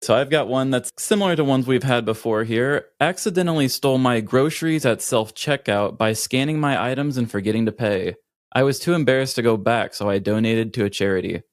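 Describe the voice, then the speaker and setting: A woman's voice: extremely low pitch, brisk, dry recording, clean studio sound. A woman co-hosting a podcast in casual chat, with a wry way of talking. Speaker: podcast co-host.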